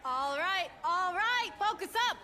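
An actress playing a bold, commanding crew leader who rallies racers with a challenging, projected delivery in a video game. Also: female voice, very high-pitched, expressive, unhurried, a little hiss or hum, heavily acted. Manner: forceful, challenging, projecting to a crowd. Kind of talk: video game voice acting, rousing speech.

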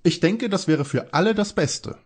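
A man playing an anxious teenage boy detective in a parody audio drama, with a strained husky voice. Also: sits high, animated, moderate pace. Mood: earnest, skeptical.

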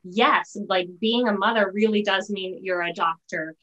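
A middle-aged woman hosting a casual video-call interview in an effusive, halting way. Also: medium pitch, a bit bright, lo-fi sound. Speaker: host, interviewer. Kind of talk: casual enthusiastic interview chat. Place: indoor room, video call. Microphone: video call microphone.

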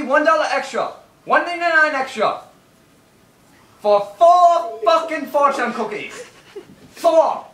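A man voicing a foul-mouthed, pushy shop owner who haggles in broken English and yells insults. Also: extremely high pitch, reverberant, far from the mic, full character voice. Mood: indignant. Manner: rapid haggling, yelling and swearing, broken grammar. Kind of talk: improvised online voice chat bit.

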